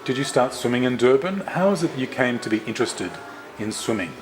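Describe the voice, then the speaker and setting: A man interpreting a signed interview aloud, voicing both the interviewer and the guest in careful, measured phrasing with small restarts. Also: mid-range voice, expressive, background noise. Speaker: sign language interpreter voicing both sides.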